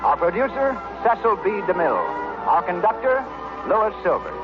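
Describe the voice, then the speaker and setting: A man's voice: sits high, very animated, normal speed. A man with an American accent making formal, declamatory scripted announcements in the style of 1930s radio. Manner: formal, declamatory showman delivery. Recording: vintage 1930s radio, dull, music behind.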